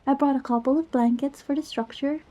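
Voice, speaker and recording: Soft, high-pitched, affectionate girlfriend played by a woman. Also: fairly even intonation, brisk, close to an everyday voice. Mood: cozy, caring. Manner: playful, rambling, tender, reassuring. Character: goofy, affectionate young girlfriend comforting scared partner. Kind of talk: ASMR girlfriend roleplay, intimate one-sided dialogue.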